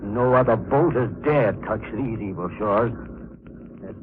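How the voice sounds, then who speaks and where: An actor playing a fearful, superstitious boat captain who gives ominous warnings in melodramatic 1940s radio style. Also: male voice, fairly low pitch, a little slow, close to an everyday voice. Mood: fearful foreboding. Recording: noisy, narrow-band 1940s radio recording.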